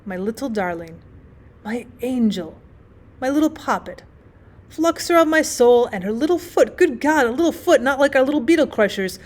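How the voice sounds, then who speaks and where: A woman voicing a giddy, boastfully happy newlywed gentleman in an audiobook, tipsy, effusive and rambling. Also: on the high side, close to an everyday voice. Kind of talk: acted audiobook storytelling.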